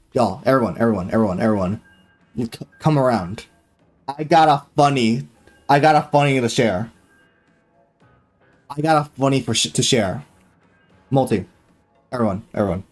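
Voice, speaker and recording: A young man chatting casually on a live gaming stream, excitable and loose, full of fillers and slang, swearing freely. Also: medium pitch, measured pace, pauses often, soft background music.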